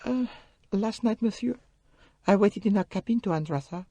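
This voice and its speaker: High, bright French-accented young maid, voluble and pleading, fluttering pitch, close and dry, a male reader putting her on in an audiobook. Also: moderate pace, no room sound. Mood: evasive. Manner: pleading, emphatic, broken English phrasing. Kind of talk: audiobook character voice.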